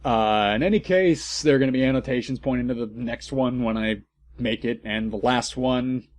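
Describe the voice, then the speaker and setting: A man's voice: mid-range voice, moderate pace. A man giving casual comic commentary as host of a reading series, with a wry, offhand manner.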